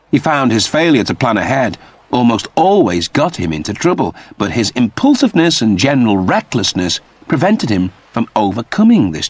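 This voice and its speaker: A man reading a scripted story as an expressive audiobook narrator. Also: fairly low pitch, breathy edge, no echo.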